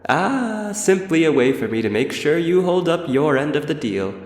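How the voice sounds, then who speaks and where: A man voicing a cunning old undead elf man, who speaks politely and formally with a cool, threatening calm. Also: on the high side, normal speed, voice pushed up. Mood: coolly menacing. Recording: reverberant roomy echo.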